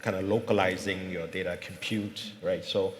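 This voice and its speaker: Man from Singapore, low voice, conference panelist, roomy hall sound. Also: steady tone, moderate pace, slight background noise. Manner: thoughtful, many fillers and restarts. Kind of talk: panel discussion.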